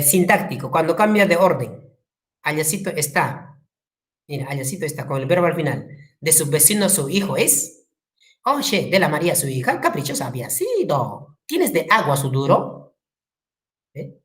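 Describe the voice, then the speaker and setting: A woman's voice: low voice, unhurried. A woman with a Peruvian Spanish accent giving a classroom lecture, acting out quotes and asking the class questions. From Peru. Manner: lively, acts out quotes, asks the class questions. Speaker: teacher.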